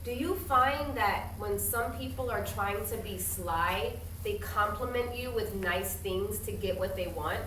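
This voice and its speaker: A woman teacher doing an interactive read-aloud for a young class, instructive and questioning, with expressive storybook reading. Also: mid-range voice, measured pace, few pauses. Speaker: primary school teacher. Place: classroom with pupils. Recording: distant echoey classroom, some noise.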